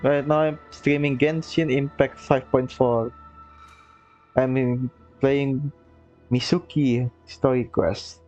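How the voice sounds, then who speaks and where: Flat-voiced streamer on a dull mic. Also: medium pitch, takes it slowly, soft background music. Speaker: game streamer. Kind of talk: casual commentary over gameplay.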